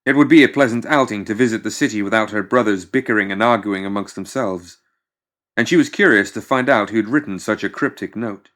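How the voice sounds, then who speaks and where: A man reading scripted fiction as an audiobook narrator, in a measured, dramatic storytelling manner. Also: on the low side, a bit bright.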